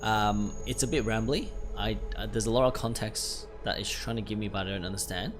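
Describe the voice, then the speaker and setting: Expressive man hosting a gaming video. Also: fairly low pitch, brisk. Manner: expressive, reacting aloud. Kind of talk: casual let's play commentary, reading game text aloud. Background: game music.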